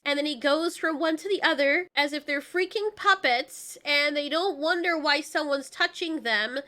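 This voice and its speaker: A woman's voice: sits high, bright, forceful tone. A woman giving a casual comedic movie recap in a sarcastic, exasperated, slangy manner. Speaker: movie reviewer.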